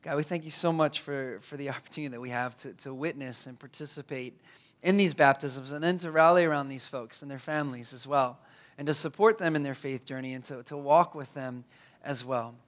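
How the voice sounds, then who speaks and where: A hoarse, raspy-voiced man in his thirties, a pastor praying and then preaching, who often stumbles and repeats words. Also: mid-range voice, brisk. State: hoarse from a cold. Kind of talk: prayer and sermon.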